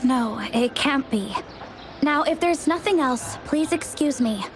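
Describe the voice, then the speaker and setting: A woman's voice: sits high, lively intonation, a little slow. An actress playing a reserved female townsperson in a video game, speaking politely but curtly. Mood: politely dismissive. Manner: polite, curt, dismissive. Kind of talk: game dialogue. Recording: game music behind the voice.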